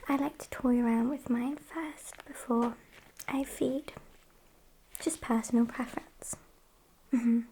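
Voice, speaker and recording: Woman acting as female vampire, British accent, breathy whisper, slow, soft mellow tone, smug, sassy, easygoing, ASMR roleplay, faint background noise. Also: fairly high pitch, fairly even intonation, poor audio. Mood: coolly playful. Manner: unhurried, hesitant pauses, mock-polite condescension.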